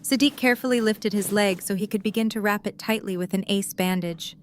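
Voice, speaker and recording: A woman's voice: mid-range voice, fairly quick, a little hiss or hum. A woman reading scripted story narration as the narrator of an audio drama.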